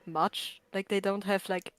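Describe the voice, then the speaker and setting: A woman's voice: mid-range voice, fairly even intonation, a little slow, clear, resonant tone, dry recording. Woman chatting casually over a game stream. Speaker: co-commentator on a game livestream. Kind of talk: casual game commentary chat.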